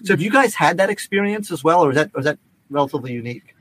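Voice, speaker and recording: A man's voice: on the high side, lively intonation, very fast, fairly bright tone, breathy edge, dry recording. A man co-hosting a podcast in casual chat, rambling and repeating himself as he acts out what people said. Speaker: podcast co-host.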